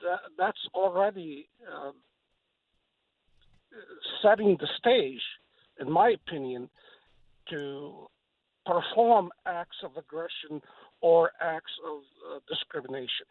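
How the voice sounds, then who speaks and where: A middle-aged man calling in to a radio show by phone, giving his opinion earnestly and hesitantly with many pauses. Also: fairly high pitch, dry recording. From Lebanon. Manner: hesitant, measured, pausing often. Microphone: telephone. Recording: narrow, muffled phone line.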